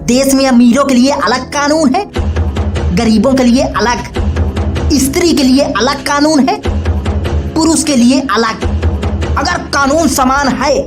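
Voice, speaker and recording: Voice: high voice, a little slow, music in the background. A performer voicing a henpecked, aggrieved husband in a comic cartoon, a man who grumbles and argues indignantly.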